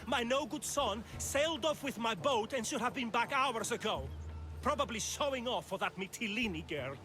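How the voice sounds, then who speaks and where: A man's voice: extremely high pitch, lively intonation, moderate pace. A man playing a grumpy middle-aged fisherman father in a video game cutscene. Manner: grumpy, gruff, exasperated. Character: sour, grumbling fisherman father.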